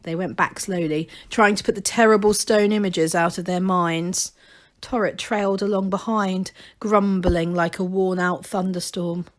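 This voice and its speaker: A woman reading a children's novel aloud as expressive, scripted storybook narration. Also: on the low side, a little slow.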